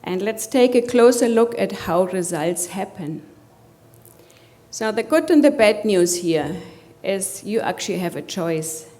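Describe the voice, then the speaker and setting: A woman's voice: medium pitch, expressive, measured pace, warm tone. A woman from Germany with a German accent, giving a motivational conference presentation and putting rhetorical questions to the audience. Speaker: conference speaker.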